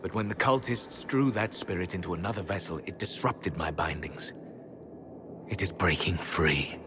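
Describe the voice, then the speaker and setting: An actor playing a weary, solemn old elven hunter-mage in a video game, speaking in a grave, measured, formal way. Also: male voice, sits low, background noise, natural voice. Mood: weary, resigned. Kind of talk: dramatic video game character acting.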